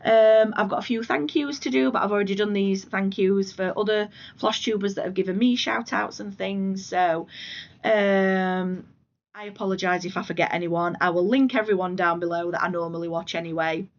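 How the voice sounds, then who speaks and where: A woman from the UK with a hoarse voice from a cold, chatting casually about her crafts at home in a rambling, self-deprecating way. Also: mid-range voice, fairly even intonation, normal speed, lo-fi sound. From United Kingdom. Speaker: flosstube vlogger. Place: living room at home.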